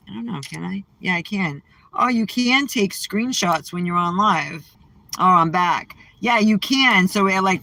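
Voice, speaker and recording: A woman with a strong, low-set voice, chatting on a livestream in a blunt, sassy way and talking back to her chat. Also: sharp tone, no echo, poor audio.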